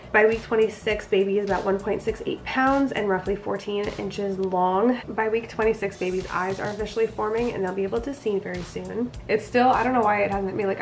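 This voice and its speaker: A young woman vlogging a casual pregnancy update, sounding nasal from a cold, chatty and rambling. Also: mid-range voice. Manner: chatty, rambling, frequent fillers.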